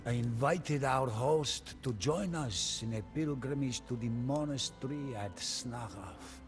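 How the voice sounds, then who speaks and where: Man acting as grave male storyteller, medieval war tale, dramatic game narration, unhurried pace, prominent music underneath. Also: medium pitch, natural voice. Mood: mournful. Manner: solemn, storytelling. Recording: music behind the voice.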